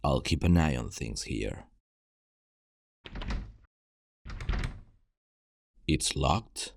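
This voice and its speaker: A man playing a gruff, world-weary zombie apocalypse survivor in an ASMR roleplay, guarded and urgent, terse and hushed, with a gravelly voice. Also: a bass voice, big pitch swings, a little slow, noisy background, exaggerated voice. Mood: tense, urgent. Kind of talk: ASMR roleplay acting, addressing the listener.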